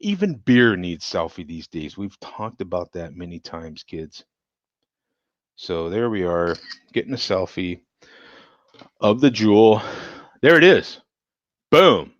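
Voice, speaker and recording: Male beer-show host, low lively voice, slow tipsy rambling, dull dry home mic. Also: no echo. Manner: rambling, laughs often, talks to chat viewers. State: tipsy from drinking. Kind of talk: casual live-stream chat.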